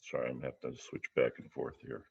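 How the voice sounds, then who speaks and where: A man hosting a service, giving a formal, somewhat halting welcome and announcements that sound partly read out. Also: very deep voice, monotone, creaky, a little mellow, slightly rough. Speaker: service host. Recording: dull lo-fi video-call audio.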